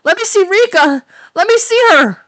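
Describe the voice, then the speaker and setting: A woman's voice: very high voice, lively intonation, normal speed, a bit bright, dry recording. A woman voicing a grief-crazed teenage girl, shrieking and sobbing in repetitions. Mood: desperate grief.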